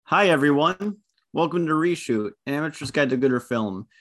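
A man's voice: fairly high pitch, expressive, normal speed. Teasing young man hosting a podcast. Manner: joking, teasing. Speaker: podcast co-host. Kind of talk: casual chat.

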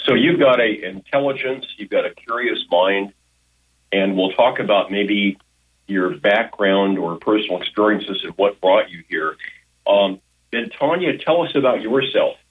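A man's voice: fairly low pitch, measured pace, slightly rough, phone-quality sound. A man hosting a radio talk show from a hotel room, chatting casually with lots of fillers. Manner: friendly, rambling with fillers.

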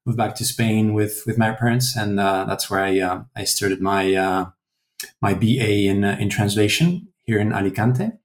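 A man's voice: on the low side, roomy sound. A man in his forties from France, answering interview questions casually with lots of fillers and restarts. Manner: hesitant, many fillers and restarts. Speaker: guest, translation company founder.

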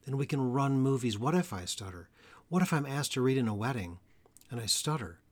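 A man hosting an educational podcast about stuttering, speaking in an earnest, measured way and slowing down on key phrases. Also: mid-range voice, animated. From Atlanta area, US. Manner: earnest, measured delivery with slow emphatic phrases. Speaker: podcast host, stuttering therapist. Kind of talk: solo educational podcast talk.

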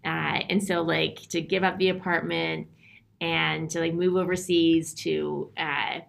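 A woman in her thirties, telling her story in a relaxed, reflective interview with many fillers. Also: low voice, unhurried, gravelly. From Canada. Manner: thoughtful, frequent fillers and restarts. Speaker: interview guest.

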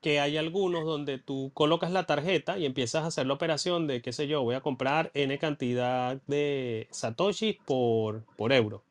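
Chatty man, slightly higher voice. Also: normal speed, few pauses. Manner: chatty, digressive, laughs easily. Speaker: podcast host. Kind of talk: casual podcast chat.

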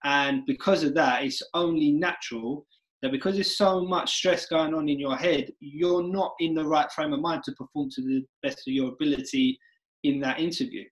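A man hosting an interview podcast, talking earnestly and with emphasis, speeding up and slowing down within phrases. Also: on the high side, fairly even intonation, low-quality recording. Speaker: podcast host. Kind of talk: interview chat.

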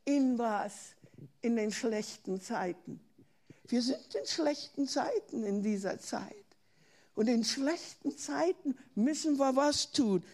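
A woman's voice: a little high, lively intonation, takes it slowly, a little mellow. An older German woman preaching a sermon in an earnest way, often asking her listeners questions and breaking off to restart words.

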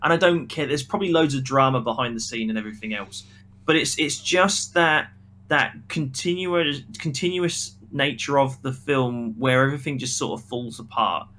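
A man's voice: mid-range voice, normal speed, pushed and bright. A man co-hosting a podcast, giving casual, blunt and critical opinions in a film review discussion.

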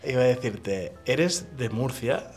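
A man hosting a podcast, interviewing a guest in a calm, reflective and affirming way. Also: medium pitch, slow, soft background music. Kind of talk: conversational interview.

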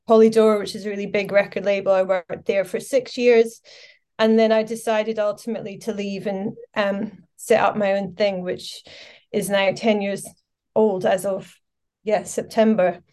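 A woman's voice: mid-range voice, little pitch movement, normal speed, darkish tone. A Scottish woman in her forties, a podcast guest chatting casually and telling her story with lots of fillers. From Scotland. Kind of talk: casual interview chat.

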